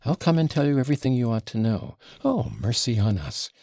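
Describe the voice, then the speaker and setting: A flustered, gushing middle-aged mother from an audiobook novel, all breathless exclamations as a male narrator puts her on. Her voice swoops high and lively with a gravelly, husky grain. It sits dry and close. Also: brisk, no room sound, lightly acted.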